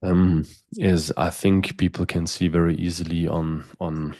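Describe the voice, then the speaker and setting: A man's voice: deep voice, level tone, measured pace, warm tone, no room sound, clean studio sound. A middle-aged man hosting a podcast, asking long, winding interview questions with frequent restarts. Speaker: podcast host.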